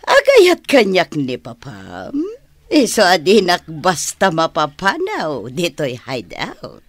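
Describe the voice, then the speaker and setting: A woman playing a stubborn, scheming kept woman in an Ilocano radio drama, drawn-out and melodramatic. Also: on the low side, wildly swooping pitch, dry recording, heavily acted. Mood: defiant.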